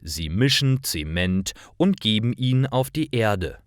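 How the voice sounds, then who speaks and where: A man reading short stories for language learners in a careful, measured, clearly enunciated scripted narration. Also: on the low side, sing-song, no room sound, studio quality.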